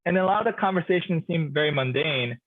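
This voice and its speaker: A man speaking as a literature professor in a relaxed expert discussion, thoughtful and hesitant, with many restarts and filler sounds. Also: a little high, fast, narrow, tinny audio.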